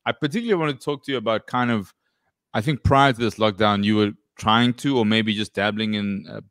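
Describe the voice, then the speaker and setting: A laid-back man in his thirties hosting an interview over a video call, with a lowish voice and an easy, joking way of asking questions. He sits close to a desk microphone at home, and the sound is dry and close. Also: fairly bright tone, no echo. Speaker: host. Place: home room with bookshelves.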